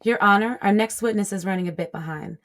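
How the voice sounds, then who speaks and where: A woman's voice: medium pitch, quick pace, a bit bright, close to an everyday voice. A woman playing a formal, assertive prosecutor in an audio drama.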